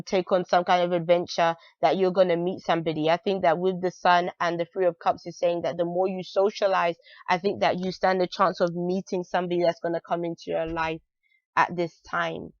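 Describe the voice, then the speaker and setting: A woman with a cold and a raspy voice, chatting casually through a monthly tarot reading, full of "um" and "like". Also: fairly low pitch, no echo, dull audio. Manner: chatty, many fillers like "um" and "like". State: has a cold, raspy voice, clearing throat. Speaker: tarot reader.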